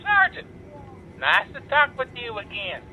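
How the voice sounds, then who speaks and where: Tinny phone line, squeaky-high toy bear, courteous, naive, audio drama. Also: male voice, big pitch swings, a little slow, slight background noise, full character voice. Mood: polite, friendly. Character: polite, earnest living teddy bear leading hostage takers.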